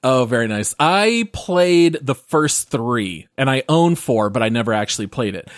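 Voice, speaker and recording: A man hosts a gaming podcast in a relaxed, upbeat way, steering the talk along. His voice sits at an ordinary middle pitch. It is recorded close and dry, with no room sound. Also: normal speed, dry recording. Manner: upbeat, leads the segment. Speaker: podcast host. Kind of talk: casual podcast chat.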